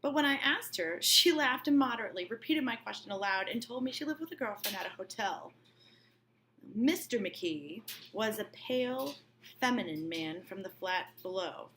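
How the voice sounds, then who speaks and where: Bright-voiced woman teacher reading aloud. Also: mid-range voice, slight background noise. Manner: colloquial, checks understanding with okay and right. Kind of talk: read-aloud with informal explanatory asides.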